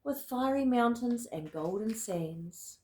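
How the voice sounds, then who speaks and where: Slow, soft-toned woman reading a storybook. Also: a little high, animated, reverberant. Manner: dramatic, deliberate storytelling with emphatic words. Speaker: storybook reader. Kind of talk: expressive picture-book read-aloud in rhyme.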